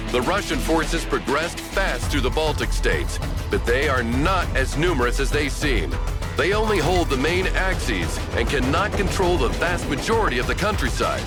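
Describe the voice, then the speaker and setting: An actor playing a haughty, authoritative senior commander in a video game, speaking formally and pompously. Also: male voice, mid-range voice, close to an everyday voice. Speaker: senior commander and diplomatic envoy. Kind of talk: scripted video game briefing. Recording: music behind the voice.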